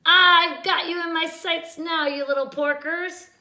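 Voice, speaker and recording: A woman's voice: extremely high pitch, fairly even intonation, measured pace, voice pushed up, full character voice. A woman reading aloud and voicing a greedy, bossy witch who screeches and hurls insults. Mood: bossy, screeching. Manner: shouting insults. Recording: roomy echo.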